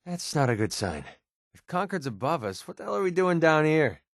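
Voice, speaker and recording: An actor playing a wisecracking, guarded young mercenary with an American accent and a colloquial, sarcastic manner in a video game. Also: male voice, fairly high pitch, very animated, moderate pace, no room sound, clean studio sound. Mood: wry, sardonic. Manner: sarcastic, colloquial, hesitant when sincere. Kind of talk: scripted game dialogue.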